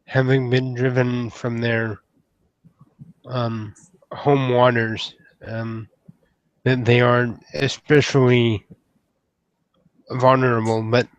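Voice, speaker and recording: Man playing an earnest, stammering, slow-spoken young knight hopeful. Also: mid-range voice, flat delivery, dry recording, dull audio, lightly acted. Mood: guarded, disapproving. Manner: hesitant, stammering, formal. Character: earnest, bashful young male would-be knight named Sam.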